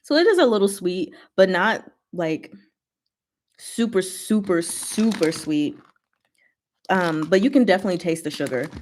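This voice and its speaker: A woman's voice: on the low side, animated, unhurried, darkish tone, slight background noise. A woman hosting a casual livestream, chatting with viewers in short, giggly asides. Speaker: livestream host.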